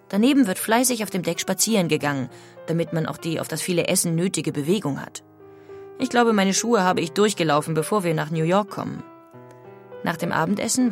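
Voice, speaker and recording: A woman playing an emigrant in a dramatised reading of historical letters and accounts. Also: on the low side.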